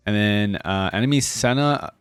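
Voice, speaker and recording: Low-voiced man giving casual reactions. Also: normal speed, clear, resonant tone, no room sound, studio quality. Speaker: reaction video host.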